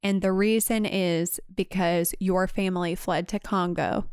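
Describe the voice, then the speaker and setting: A woman co-hosting a podcast, asking questions in a friendly, quick way with filler words. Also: on the low side, fairly even intonation, a little mellow, clean tone, no room sound, clean studio sound. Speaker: podcast co-host. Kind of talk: casual interview conversation.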